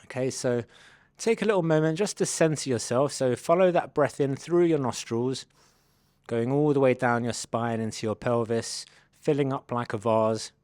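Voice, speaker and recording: A man leading a guided body scan meditation, giving gentle, unhurried invitations as a mindfulness instructor. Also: medium pitch, no room sound, studio quality.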